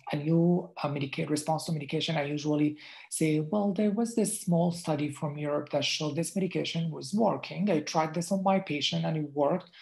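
A man's voice: fairly high pitch, vocal fry, darkish tone, poor audio. A man whose first language is Arabic, a dermatologist giving a casual online lecture over slides, talking conversationally with many restarts and fillers. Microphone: video call.

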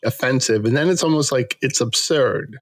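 Male co-host, mid pitch, non-native English speaker, measured and plain, dry sound. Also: no room sound. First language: not English. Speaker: podcast co-host, immigration attorney. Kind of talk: casual podcast chat.

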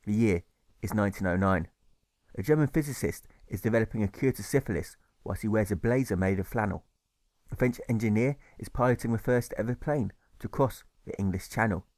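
A man narrating a rhyming novel as an audiobook, in measured storytelling that leans on the rhymes. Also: lowish voice, a little mellow, dry recording. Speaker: author narrating his audiobook.

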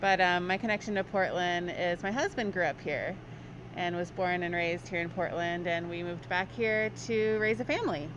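A woman's voice: lowish voice, normal speed, a bit bright. A woman in her thirties from Hawaii, an artist being interviewed on the street, talking thoughtfully with frequent ums. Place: outdoors by a brick wall on a city street. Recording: dull, noisy street audio.

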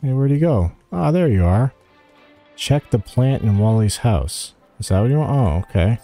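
A man with a soft, mellow, mid-range voice talks through a video game guide in a casual way. He thinks aloud and addresses the characters, with some music behind him. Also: medium pitch, lively intonation, moderate pace. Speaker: gaming guide host.

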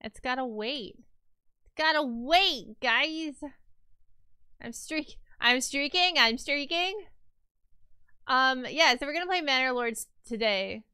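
A woman's voice: high-pitched, expressive, takes it slowly, pauses often, sharp tone, clear, resonant tone, no room sound, clean studio sound. A woman livestreamer chatting casually with her viewers, a little stuffy from a cold, rambling with fillers and restarts.

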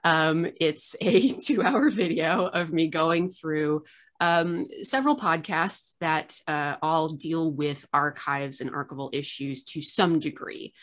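Lady host on a video call, low voice, lots of uhs, joking about herself. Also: takes it slowly. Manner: chatty, many filler uhs, self-deprecating jokes. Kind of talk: casual video-call interview. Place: home room with framed art on the wall.

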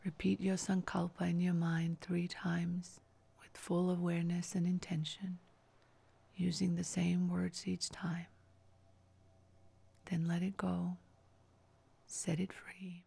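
Breathy, slow woman guiding meditation. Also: lowish voice. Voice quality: soft, breathy, near-whispered. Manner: calm, soothing, measured instruction. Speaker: yoga nidra meditation guide. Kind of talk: scripted guided relaxation meditation.

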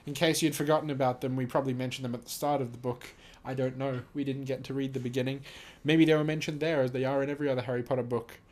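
A man's voice: medium pitch, little pitch movement, very fast, a little mellow. Male host, casual read-and-react, amused, mock-serious, freely profane. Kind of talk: casual reading aloud and reacting.

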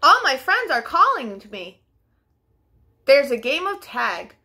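A young woman reading a children's storybook aloud in an expressive, deliberate, child-directed way. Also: high voice, slight background noise. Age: young adult. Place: small furnished living room at home.

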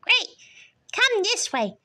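A woman's voice: very high-pitched, big pitch swings, slow, pushed and bright, heavily acted. A woman voicing a cartoon family, a fussy mother and her children, in a fan-made performance. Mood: chatty.

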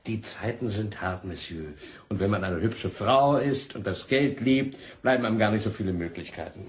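A man's voice: fairly low pitch, expressive, normal speed, natural voice. An actor playing a sly, worldly, chummy man with an insinuating manner in a German crime radio drama. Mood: sly, knowing. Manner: insinuating, jovial. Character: sly, worldly, chummy male client of a call girl.

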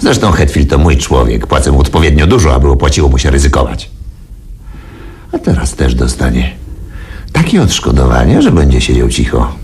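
Very deep-voiced, smug wealthy boss. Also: male voice, sing-song, brisk, darkish tone, slight background noise. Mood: brazen, dismissive. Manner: chuckling, drawling, self-assured. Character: smug, domineering wealthy male boss, amused and callous. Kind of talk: Polish radio play acting.

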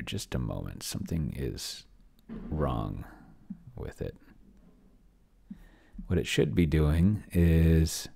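A man's voice: rumbling low, creaky, a little mellow, breathy edge. A middle-aged man hosting a casual screen-share walkthrough, rambling and muttering asides to himself as he thinks aloud. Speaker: host of a solo roleplaying demo stream. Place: indoors at a desk, on webcam.

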